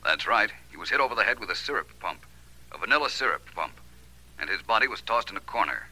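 An actor playing a suave, unflappable private detective in a 1940s radio drama, speaking with polished, dry understatement. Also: male voice, fairly low pitch, lively intonation, quick pace, sharp tone, heavily acted, rough recording. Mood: brisk, serious.

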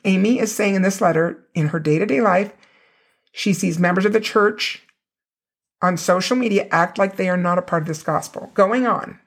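A woman hosts a casual faith podcast in a hoarse, raw voice. Her pitch sits in an ordinary middle range. She talks conversationally and pauses to repeat the passages she reads aloud. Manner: conversational, rereads and repeats quoted passages for emphasis. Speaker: podcast host. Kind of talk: casual religious podcast talk.

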